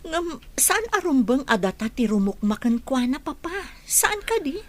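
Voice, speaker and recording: A woman's voice: sits high, sing-song, normal speed. A woman playing a well-off aunt and deferential grown daughter in a radio drama, coaxing, with an Ilocano accent. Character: well-off aunt, grown daughter gently pleading with her father.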